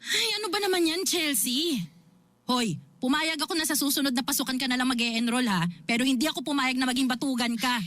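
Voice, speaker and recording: An actress playing a worried, nagging older sister in a radio drama, scolding and insistent with plenty of emotion. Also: female voice, high voice, fairly quick, a bit bright, dry recording. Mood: nagging, coaxing. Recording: music behind the voice.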